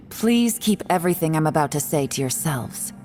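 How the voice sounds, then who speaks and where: A determined woman investigator in a video game. She is low-pitched, grave and measured, earnest throughout, over faint music. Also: lively intonation, a little mellow. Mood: grave, confiding. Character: grave, determined adult female investigator with a secret past.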